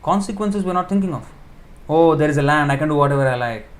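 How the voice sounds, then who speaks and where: A man giving a sermon in an informal style, explaining with everyday examples, pausing with fillers and correcting himself as he thinks aloud. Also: fairly high pitch, expressive. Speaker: preacher giving a scripture class.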